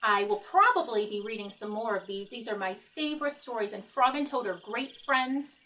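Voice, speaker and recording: A woman reading a storybook aloud to children, gently pausing to explain and ask questions. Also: fairly high pitch, expressive, distant mic, rough recording. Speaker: storybook reader.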